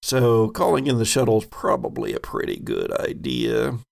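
A man narrating a tabletop game as game master, describing scenes in measured, searching phrases. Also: medium pitch, wildly swooping pitch, gravelly.

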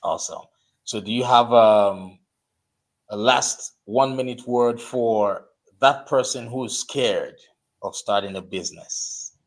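A man hosting a live podcast interview, urging his listeners in an emphatic, exhorting way and spelling out names slowly. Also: mid-range voice, low-quality recording. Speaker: podcast host. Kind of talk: motivational interview show.

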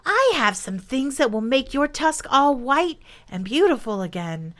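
A woman voicing a polite, anxious male storybook adventurer who speaks in a hurried, apologetic manner. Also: sits high, very animated, fairly bright tone, voice pushed up, heavily acted. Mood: pleading.

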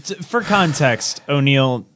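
A man's voice: a little high, expressive, slow, fairly bright tone. A man co-hosting a podcast, talking casually about movies in conversation with friends. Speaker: podcast co-host.

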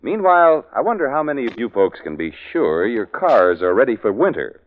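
A man's voice: mid-range voice, sing-song, brisk. A man working as a radio announcer, reading a folksy commercial in 1940s broadcast style. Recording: muffled, hissy old radio recording.

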